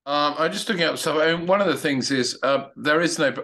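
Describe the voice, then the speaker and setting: A man speaking casually on a video call, opinionated, with frequent halting restarts. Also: on the high side, pushed and bright. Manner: hesitant, many restarts, opinionated. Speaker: meetup participant.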